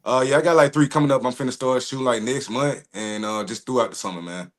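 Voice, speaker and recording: A man's voice: medium pitch, fast. A male actor guest chatting in a laid-back, slangy way with many "man" fillers. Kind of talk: casual interview chat.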